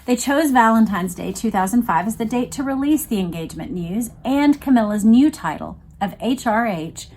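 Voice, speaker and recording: A woman's voice: mid-range voice, a little mellow, husky. Conversational woman reading along and commenting. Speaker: host of a read-along video series. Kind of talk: reading a book aloud with casual commentary.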